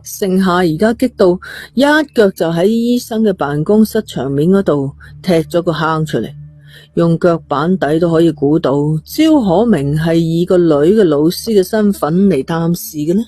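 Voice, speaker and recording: A woman's voice: on the low side, normal speed, dark tone, no room sound. A woman with a Hong Kong Cantonese accent reads a scripted horror-mystery story as its narrator. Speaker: audio novel narrator.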